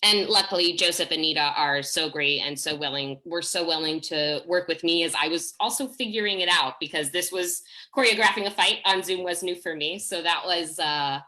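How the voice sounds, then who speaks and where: A woman's voice: fairly low pitch, normal speed, hardly any pauses, sharp tone. A woman speaking as a theatre director in a video call discussion, effusive and chatty, often cutting across her own sentences. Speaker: theatre director and fight choreographer.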